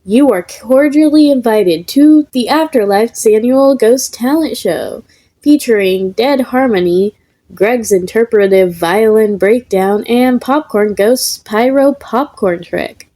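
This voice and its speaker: A woman's voice: on the high side, takes it slowly, dark tone. A woman voicing a formal invitation from the afterlife, announcing ceremoniously in a comic story. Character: formal invitation from beyond the grave.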